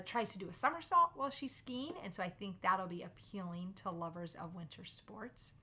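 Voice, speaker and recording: A woman's voice: medium pitch, bright, forceful tone. A woman hosting a book recommendation video, speaking to viewers in an enthusiastic, emphatic presenter style. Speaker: host of a children's book recommendation channel. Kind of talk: upbeat scripted book review to camera.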